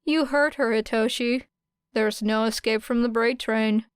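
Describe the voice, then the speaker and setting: A cool, wry teenage girl from an audiobook story, read by a woman. She is deadpan and unflappable, with a clear voice in a dry recording. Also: fairly high pitch, measured pace, no echo, close to an everyday voice. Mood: unsympathetic. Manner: deadpan, matter-of-fact.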